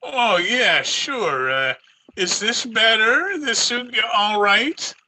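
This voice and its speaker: A man playing a sharp-edged, drawling sadistic gunman. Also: sits high, expressive, slow, slight background noise, full character voice, low-quality recording. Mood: menacing, mocking. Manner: taunting, drawling tough-guy slang. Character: sadistic male gunman, taunting drunken killer. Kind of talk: old-time radio crime drama acting.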